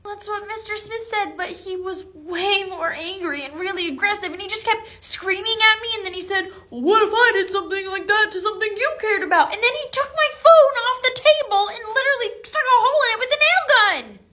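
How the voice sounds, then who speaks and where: A woman's voice: very high voice, normal speed, hardly any pauses, pushed and bright. A woman playing a naive, earnest schoolboy who gets flustered easily, in a comedy skit, with a plaintive, confused manner. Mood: upset, plaintive.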